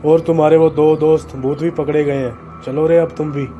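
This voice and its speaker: A man puts on a brash, slangy tough guy for a story drama. His delivery is cocky and even, with a dark timbre. The sound is somewhat dull. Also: a little high, normal speed, close to an everyday voice. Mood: gloating. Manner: cocky, Mumbai street slang. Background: music.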